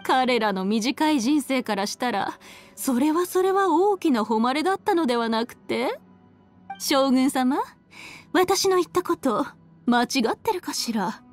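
An elegant, cruel villainess from an anime game. Her voice sits fairly high and swings expressively as she taunts with aristocratic disdain. Faint music plays behind her. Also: animated. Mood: scornful. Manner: condescending, mocking, drawn-out aristocratic taunting. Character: haughty, cruel, elegant villainess harbinger, adult woman. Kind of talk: Japanese anime game voice acting. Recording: studio voice over game music.

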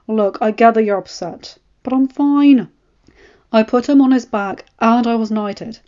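A woman's voice: a little slow, lightly acted. Woman voicing an earnest young knight. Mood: defensive. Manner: low-key, warm-hearted, sheepish. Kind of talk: storybook reading. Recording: slightly dull lo-fi recording.

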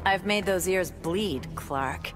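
A defiant heroine from a fighting game, tough and ready to brawl. Her voice sits fairly low under loud music. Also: takes it slowly. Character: tough, defiant female singing-scream superhero brawler. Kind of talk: dramatic video game acting.

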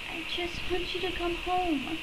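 A woman's voice: extremely high pitch, level tone, measured pace. A woman voicing a devoted, frightened little sister, pleading emotionally through a crackly computer mic. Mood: tender pleading. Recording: crackly, buzzing built-in computer microphone.

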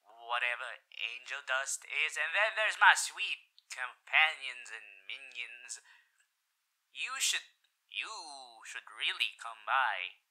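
A male radio demon with a very sharp, bright voice and a slow, halting delivery. He is a theatrical showman, both sinister and doting. His teasing swings between hushed and booming, as befits an audio roleplay. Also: exaggerated voice, rough recording. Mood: cheerful. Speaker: voice actor. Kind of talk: character roleplay ASMR, theatrical old-time showman.

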